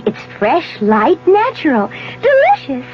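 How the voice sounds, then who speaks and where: A woman's voice: high-pitched, wildly swooping pitch, measured pace, heavily acted. An actress voicing a perky, bossy storybook girl as a taste tester in a 1970s comic radio commercial. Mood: perky, pleased. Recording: muffled 1970s radio broadcast, faint music.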